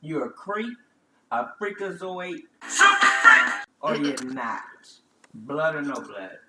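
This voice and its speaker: A man's voice: a little high, big pitch swings, slow pace, reverberant, distant mic, loud music behind the voice. A man speaking bluntly and emphatically in a casual podcast chat.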